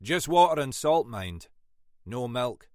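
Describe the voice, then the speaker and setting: Man playing older male inspector, wry, teasing, avuncular, animated, audiobook crime story. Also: medium pitch, takes it slowly, no room sound, clean studio sound.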